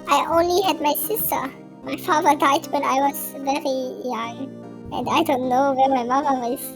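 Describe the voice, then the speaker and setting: Forlorn young female medium amateur performance, very high voice, earnest and plaintive, comedy game dub with clear music bed. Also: fairly even intonation, moderate pace.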